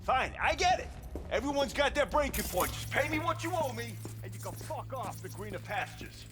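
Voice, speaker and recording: An actor playing a sleazy, bullying back-alley cyber-doctor in a game, sneering and volatile. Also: male voice, very high-pitched, sing-song, moderate pace, over music. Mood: exasperated. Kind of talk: video game dialogue acting.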